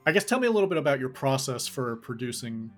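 A man's voice: mid-range voice, fast, faint music behind. A man hosting a casual podcast interview, asking loose, rambling questions. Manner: relaxed, rambling questions with many likes and restarts. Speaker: podcast host.

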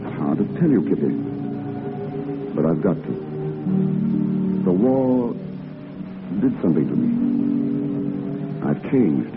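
Deep-voiced, withdrawn war veteran, old radio. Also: male voice, very animated, narrow, tinny audio, full character voice. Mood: restrained sorrow. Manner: restrained, halting, politely evasive. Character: gentle, withdrawn war veteran hiding his blindness from his love. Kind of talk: 1940s radio drama acting. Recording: old band-limited radio recording with background music.

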